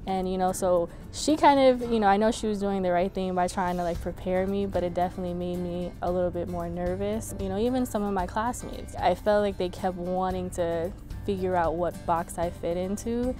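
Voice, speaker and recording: Young woman interviewee, lowish pitch, reflective and filler-heavy, music bed under. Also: steady tone, a little fast, mellow. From Brooklyn, New York. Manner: reflective, many "you know" and "like" fillers. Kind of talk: casual personal interview.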